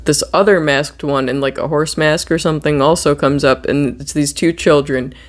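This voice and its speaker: Horror movie commentator, mid-pitched, casual and sweary, rambling recap style. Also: steady tone, normal speed.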